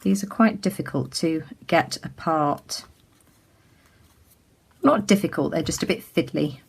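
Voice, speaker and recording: A woman from the UK giving a casual craft tutorial as she works, chatty and full of ums, her voice a little croaky. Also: low voice, unhurried. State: slightly croaky. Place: indoors at a craft table.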